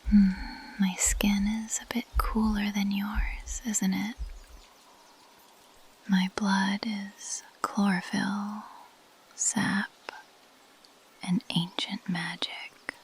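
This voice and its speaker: Slow whispering plant monster woman, acted out by a woman. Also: mid-range voice, level tone, a little hiss or hum. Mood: intimate musing. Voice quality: breathy whisper. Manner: intimate, teasing, slow and deliberate. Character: possessive, predatory ancient female plant monster, seductively curious. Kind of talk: ASMR roleplay.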